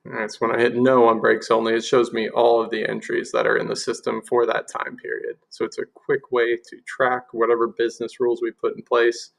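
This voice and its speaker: A man presents an informal software walkthrough in a voice with plenty of fry and gravel. His pitch is middling and stays fairly even. He explains things step by step, as a demonstrator does while sharing a screen. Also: brisk, hardly any pauses, low-quality recording.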